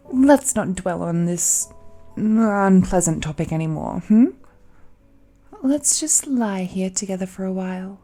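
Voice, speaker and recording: A woman's voice: medium pitch, expressive, slow, long pauses, mellow, faint music behind. A woman playing a possessive, seductive ancient supernatural villainess in a romantic audio roleplay, speaking in a coaxing, condescending way with hums and sighs. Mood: patient, possessive.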